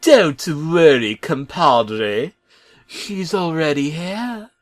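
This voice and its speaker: A man voicing a cheerful cartoon builder turned raving fanatic, with a put-on British accent, loud and drawn-out. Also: on the high side, animated, pitched above the performer's own voice. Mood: sly.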